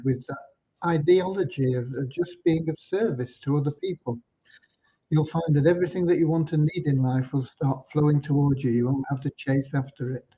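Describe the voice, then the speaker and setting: Guy hosting a livestream, mellow husky voice, earnest and unhurried, kinda muffled lo-fi sound. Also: a little high. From Cornwall, UK. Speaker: livestream host and community leader. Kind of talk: informal livestream updates with devotional talk. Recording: dull lo-fi livestream audio.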